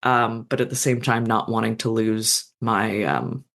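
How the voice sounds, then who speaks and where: Thirties author, podcast guest, reflective, hesitant, self-deprecating, mid-pitched. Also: fairly even intonation, creaky, a bit bright. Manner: thoughtful, self-deprecating, many fillers and pauses. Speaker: fanfiction author, interview guest. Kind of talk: casual interview conversation.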